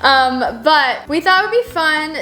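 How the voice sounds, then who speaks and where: Bubbly high-voiced young woman. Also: lively intonation, bright, forceful tone. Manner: bubbly, quick to laugh. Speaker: co-host. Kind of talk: casual chat. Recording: echoey room.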